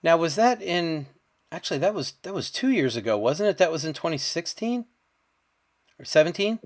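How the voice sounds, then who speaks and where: A man's voice: on the high side, sing-song, moderate pace. Chatty male podcast host. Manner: conversational, checking facts with "right?". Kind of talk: podcast interview.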